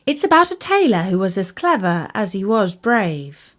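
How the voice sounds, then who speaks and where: A woman reading a scripted fairytale for children in an expressive, deliberate storytelling delivery. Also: mid-range voice.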